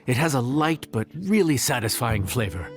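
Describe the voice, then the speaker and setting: An actor playing an affable, knowledgeable cook in a video game, chatty and explanatory. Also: male voice, mid-range voice, big pitch swings, normal speed, soft background music, natural voice. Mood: musing.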